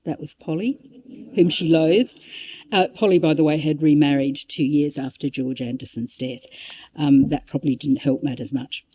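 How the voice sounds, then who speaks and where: A woman's voice: low-pitched, fairly even intonation, moderate pace, dark tone, husky. A woman giving an informal history talk, conversational and wry, drifting into asides and reading out quotations. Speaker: public lecturer.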